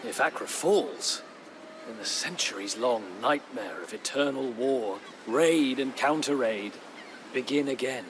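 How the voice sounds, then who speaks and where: An actor playing a weary, middle-aged former crusader knight who narrates a game's war chronicle in a solemn, measured, dramatic storytelling manner. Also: male voice, mid-range voice, lively intonation, background noise, natural voice. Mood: grave, tense. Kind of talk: dramatic scripted first-person narration. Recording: music under the voice. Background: music.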